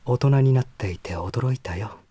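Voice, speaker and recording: Refined, bashful, medium-pitched male lover. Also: normal speed, slightly rough, no room sound. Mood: fond, wry. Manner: soft, refined, slightly formal speech. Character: slight bespectacled man, polite, reserved, bashful lover. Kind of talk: Japanese voice drama acting.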